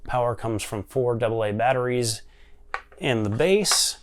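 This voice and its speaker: A man's voice: medium pitch, unhurried. A man presenting a hobby electronics project in an explanatory tutorial style. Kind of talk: tutorial narration and screen demonstration.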